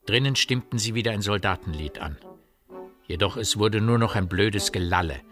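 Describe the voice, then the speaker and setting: A man narrating a dark village tale in a grave, literary style for a radio drama. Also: on the low side, normal speed, faint music behind, natural voice. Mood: grave, measured.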